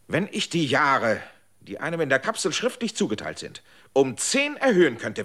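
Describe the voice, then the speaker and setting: A man's voice: on the high side, sharp tone, heavily acted. An actor playing a desperate, manipulative man in a radio drama, coaxing and then threatening. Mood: defiant. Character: desperate, manipulative man seeking longer life.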